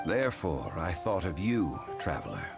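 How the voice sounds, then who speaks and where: An actor voicing an ancient god in human form, a calm and dignified scholarly man who speaks formally and without hurry, with game music underneath. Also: deep voice, creaky, exaggerated voice. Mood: solemn. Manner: measured, formal and unhurried. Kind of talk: game cutscene voice acting.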